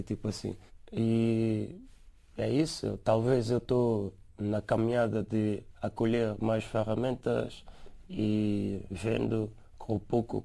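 A young man with a São Tomé Portuguese accent answers interview questions in a halting, easygoing way, letting his phrases fade out softly. His voice sits low and mellow, and it stays fairly flat in pitch. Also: creaky. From São Tomé and Príncipe. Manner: halting, laid-back, trails off softly at phrase ends.

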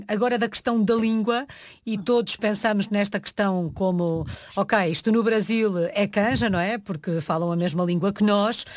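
Measured interviewer. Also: female voice, on the high side, no room sound, clean studio sound. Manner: long, carefully built questions. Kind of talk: interview questions.

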